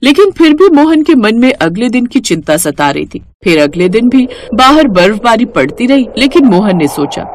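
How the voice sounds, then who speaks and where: A woman's voice: on the high side, big pitch swings, normal speed, few pauses, slight background noise. A woman reading scripted narration for a moral cartoon story.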